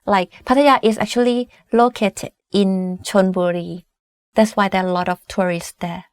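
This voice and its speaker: A woman's voice: medium pitch, fairly even intonation, normal speed, no room sound. A Thai woman in her twenties with a Thai accent, giving a casual language lesson full of "like" and "I mean". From Thailand. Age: 20s. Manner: chatty, many "like" and "I mean" fillers. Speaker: language teacher.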